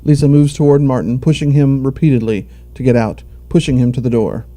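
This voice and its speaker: A man's voice: medium pitch, a little fast, dark tone. A man narrating a scripted audio drama, telling the action in the present tense.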